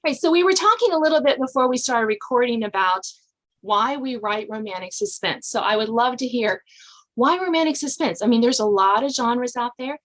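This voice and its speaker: A woman's voice: on the high side, lively intonation, fairly quick, poor audio. A woman hosting a podcast in a casual interview style, informal and often rephrasing her thoughts mid-sentence. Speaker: podcast host.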